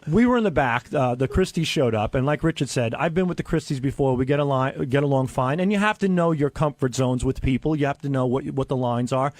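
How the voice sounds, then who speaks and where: A man's voice: medium pitch, brisk, hardly any pauses, no room sound. A man in casual radio banter, talking in a brash, crude and defensive way on a close studio mic. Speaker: show regular telling his side.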